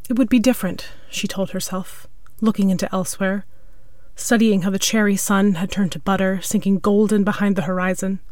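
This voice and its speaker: A woman's voice: mid-range voice, dry recording, clean studio sound. A woman reading a piece of scripted literary fiction as its narrator. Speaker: story narrator.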